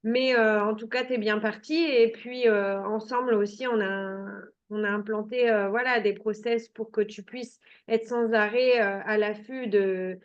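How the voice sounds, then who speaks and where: A woman coach interviewing and praising her client, speaking hesitantly with many pauses and restarts. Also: mid-range voice, steady tone, muffled recording. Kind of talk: interview, giving feedback.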